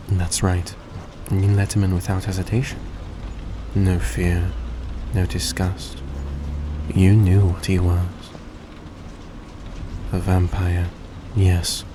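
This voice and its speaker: A man playing a suave, tender centuries-old vampire in a roleplay audio drama, speaking in a soft, coaxing, genteel way to the listener. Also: low voice, slow pace, long pauses. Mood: solemn storytelling. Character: suave, gracious centuries-old male vampire, young-looking, tender and grateful. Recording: steady background noise, vehicle-like ambience.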